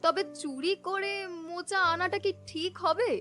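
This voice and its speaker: A woman voicing a practical, questioning village wife in a cartoon, with a brisk, nagging manner. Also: very high-pitched, fairly bright tone. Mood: confident. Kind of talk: cartoon voice acting.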